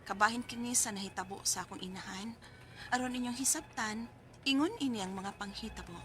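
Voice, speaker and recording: A woman's voice: fairly high pitch, a little fast, close to an everyday voice. An actress playing a devoted single daughter who cares for her sick mother, in a radio drama. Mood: earnest. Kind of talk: radio drama acting with letter narration.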